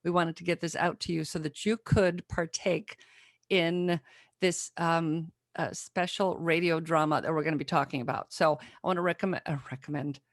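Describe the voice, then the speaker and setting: A woman's voice: sits low, fairly even intonation, normal speed, dry recording, studio quality. A woman hosting a podcast in a casual, chatty way. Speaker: podcast host.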